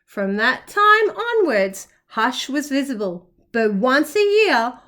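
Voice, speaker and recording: Very bright, high-voiced woman reading a storybook. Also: slow. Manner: expressive, with playful asides to young listeners. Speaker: children's story reader, kindergarten teacher. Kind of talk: animated picture-book reading for young children.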